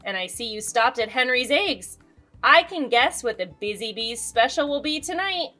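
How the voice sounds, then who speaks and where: A woman voicing a friendly male farm vendor in a storybook, chatty and expressive. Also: on the high side, moderate pace, faint music behind. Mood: pondering, friendly. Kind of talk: storybook character voice.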